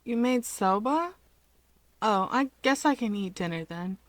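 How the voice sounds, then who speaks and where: A woman's voice: mid-range voice, expressive, unhurried, dry recording, natural voice. A woman voicing an earnest, innocent and socially awkward young boy in a story, speaking plainly and without guile. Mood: innocent delight.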